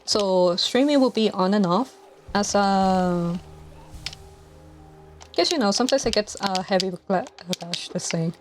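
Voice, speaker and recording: A young woman in her twenties chats casually on a live stream while she plays. She speaks softly and hesitantly, with many fillers. Her mic is clipped to her collar, and faint game music runs underneath. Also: mid-range voice, measured pace, long pauses. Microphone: lapel mic clipped to collar.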